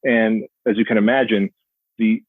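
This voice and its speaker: A man's voice: on the low side, fairly even intonation, normal speed, dry recording. A man in his forties who grew up speaking Armenian, telling his life story earnestly as a podcast guest. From Soviet Union, Armenian family. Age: 40s. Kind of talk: storytelling interview. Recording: dry tinny phone-like line.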